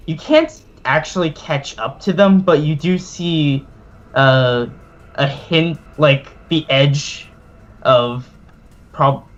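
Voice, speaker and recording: A man running a tabletop game as game master, narrating casually and haltingly with offhand swearing. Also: on the high side, lo-fi sound. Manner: casual, profane, hesitant narrating.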